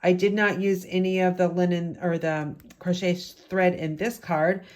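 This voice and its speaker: A woman with a raspy voice from a lingering head cold, from the United States, chatting through a step-by-step card-making tutorial in her craft room at home. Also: fairly low pitch, flat delivery, unhurried, a little mellow.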